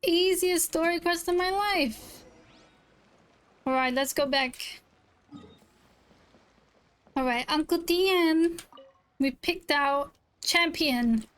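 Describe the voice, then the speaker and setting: A woman's voice: very high voice, slow, long pauses, a bit bright. A woman streaming a game, giving playful, quippy and casual playthrough commentary. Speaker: gaming streamer reacting to a game. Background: game music.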